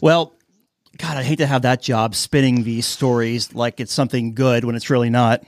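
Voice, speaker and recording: A man's voice: medium pitch, fairly even intonation, moderate pace, no echo. A man hosting a news podcast in a casual, plain-spoken way. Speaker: podcast host.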